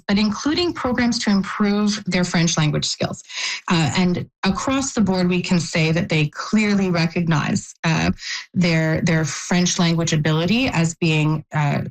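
A woman who organizes youth employment work, answering radio interview questions in a halting, measured way and often restarting her phrases. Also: lowish voice, low-quality recording.

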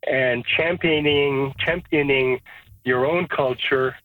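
Man, Canadian-born, Serbo-Croatian first language, radio caller, halting reflective talk, phone-line sound. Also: mid-range voice. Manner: thoughtful, halting with restarts. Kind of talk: call-in radio chat.

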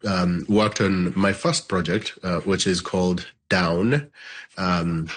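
A man from Uganda, a filmmaker, answering interview questions at home in a thoughtful, halting way with many fillers. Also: low voice, husky. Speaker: filmmaker and guest. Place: at home, child in background.

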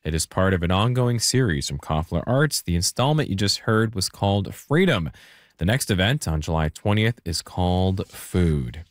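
Low-voiced male radio host, dry studio. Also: lively intonation, normal speed, no room sound. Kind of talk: scripted broadcast links.

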